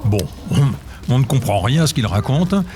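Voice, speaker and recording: A man's voice: mid-range voice, sing-song, moderate pace, crowd noise, lightly acted. A man acting a wry history teacher who narrates the ages in a dramatic, grandiose manner. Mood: wry, lively. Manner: theatrical, grandiose with wry asides.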